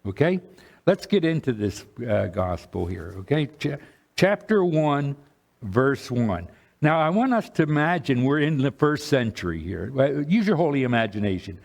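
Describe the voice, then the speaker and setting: A man's voice: medium pitch, very animated, moderate pace, creaky, darkish tone. An older man, a bishop, preaching a conversational sermon, often restarting phrases and checking in with the congregation.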